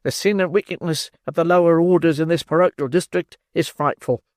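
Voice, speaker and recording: A man's voice: fairly high pitch, steady tone, a little fast, a little mellow, dry recording, studio quality, voice pushed up, natural voice. A man voicing a pompous, indignant older parish beadle in an audiobook reading. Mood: stern. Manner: pompous, blustering.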